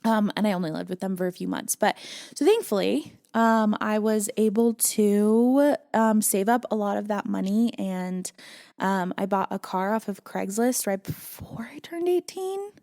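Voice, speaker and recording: A woman guest on a podcast, telling her life story candidly in an earnest, reflective way with soft laughs. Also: mid-range voice, expressive, normal speed, creaky. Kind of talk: candid personal storytelling in conversation.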